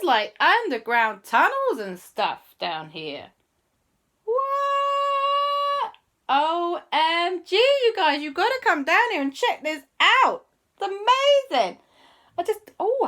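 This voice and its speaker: A woman voicing a silly, excitable aunt who gushes in a high squeal while she narrates a bedtime-style story. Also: drawn-out words, heavily acted. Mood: excited. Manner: squealing, gushing, breathless excitement. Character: daft adventurous adult aunt, excitable.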